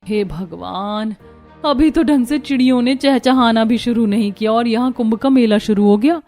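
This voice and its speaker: A woman's voice: a little high, moderate pace, darkish tone, soft background music, natural voice. A woman playing an overworked, exasperated young daughter-in-law in a cartoon story, complaining indignantly. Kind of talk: Hindi cartoon story dialogue.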